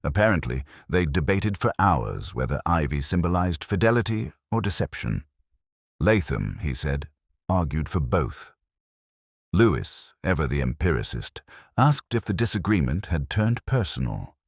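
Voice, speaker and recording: A man reading a scripted first-person detective story as narrator, in a measured, melancholy, literary manner. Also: very deep voice, big pitch swings, creaky, slightly rough, no echo, clean studio sound.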